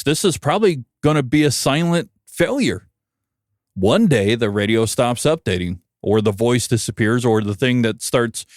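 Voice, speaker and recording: A man's voice: mid-range voice, no room sound, clean studio sound. An American man hosting a podcast, delivering a conversational opinion monologue in a wry, emphatic way. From United States. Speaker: podcast host, broadcast engineer.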